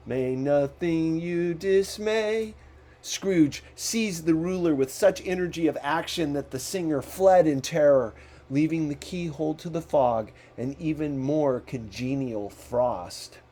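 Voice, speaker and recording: A man reading a classic story as an audiobook narrator, with dramatic, deliberate phrasing. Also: a little high, dark tone.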